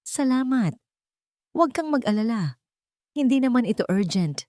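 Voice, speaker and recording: A woman's voice: fairly high pitch, no room sound, studio quality, voice pushed up, natural voice. Composed young businessman played by a female narrator, polite and soothing, for romantic audio drama. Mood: calm, casual. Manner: calm, polite, measured. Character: calm, reassuring young male company boss.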